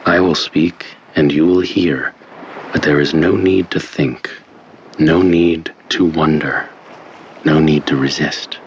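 Super low slow hypnosis tape man, calm n controlling, soothing, muffled lo-fi cassette sound. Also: slight background noise, full character voice. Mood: soothing, hypnotic. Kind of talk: guided hypnosis instructional tape in an audio drama. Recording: dull lo-fi cassette-style sound. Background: faint music.

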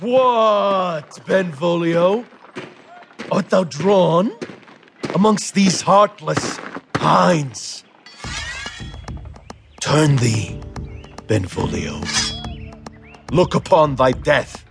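An actor in a Shakespearean audio drama playing a hot-blooded, hateful young swordsman, sneering with a gravelly voice and drawn-out words. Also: on the high side, music in the background, heavily acted. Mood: sneering challenge.

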